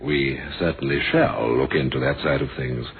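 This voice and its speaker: A low-voiced detective inspector in an old radio mystery, dry, probing, formal, tinny recording. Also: male voice, moderate pace, vocal fry, breathy edge, exaggerated voice. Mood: wry, probing. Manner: drily wry, formal, probing. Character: shrewd, courteous, suspicious male police inspector. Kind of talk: old radio drama acting. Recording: thin band-limited old radio, noisy.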